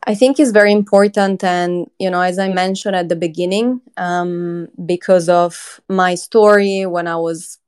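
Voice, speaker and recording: A woman from Croatia in her thirties, a company chief being interviewed, talking in an earnest, measured way with frequent ums. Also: on the low side, fairly even intonation, darkish tone, clear, resonant tone. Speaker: crypto company CEO, guest. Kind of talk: conversational interview.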